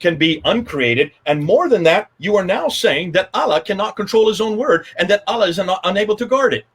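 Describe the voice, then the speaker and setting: A man's voice: high voice, lively intonation, a little fast, a bit bright, breathy edge. An older man on a video call from his home study, telling a story emphatically as a guest apologist in a panel talk. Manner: emphatic, repetitive, gesturing a lot. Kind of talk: animated storytelling in a panel discussion. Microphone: video call webcam.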